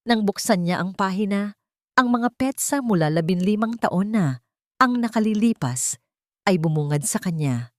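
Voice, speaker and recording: A woman narrating a scripted dramatic audiobook with solemn, measured and deliberate phrasing. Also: medium pitch, expressive, no room sound, studio quality.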